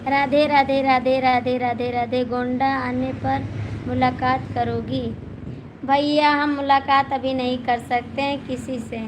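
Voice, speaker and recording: A hoarse woman with an Awadhi accent hosts a live stream as a folk singer. She greets viewers one by one in casual chat and teases them as she reads their comments. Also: sits high, flat delivery, measured pace. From Gonda district, Uttar Pradesh, India. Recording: clear background noise, passing vehicles.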